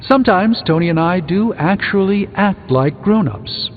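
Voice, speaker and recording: An actor playing a proud, erudite sorcerer hero in a video game, speaking in an articulate, theatrical and pompous way. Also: male voice, sits high, lively intonation, moderate pace. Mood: wry, assured. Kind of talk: video game character dialogue. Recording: phone-like band-limited sound under music. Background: music.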